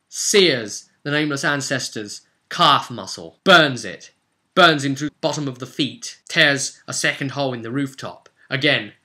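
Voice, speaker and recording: A man with a very bright, sharp, forceful voice at a middling pitch tells a tabletop fantasy adventure as its game master. His delivery is dramatic and unscripted, built from vivid present-tense description and short, emphatic phrases. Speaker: game master recapping a role-play session.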